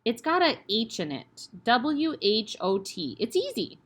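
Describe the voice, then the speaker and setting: A woman voicing a cheeky but nervous young schoolboy in a high voice. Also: very animated, unhurried, a bit bright, exaggerated voice.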